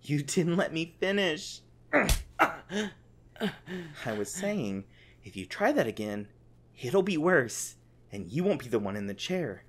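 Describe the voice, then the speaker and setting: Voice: medium pitch, sing-song, slow, pauses often, a little hiss or hum. An actor playing a gleeful sadistic monster captor in an ASMR audio drama, theatrical and taunting with cloying affection. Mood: sweetly threatening. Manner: theatrical, taunting, sing-song pet names.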